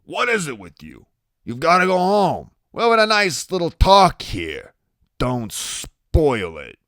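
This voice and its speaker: Irritable hard case for an audiobook man performing, slangy and contemptuous, forceful delivery with wild swings in pitch. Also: wildly swooping pitch, a little slow, no room sound, clean studio sound, heavily acted. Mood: menacing sarcasm. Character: menacing, tattooed tough man, irritable and scornful.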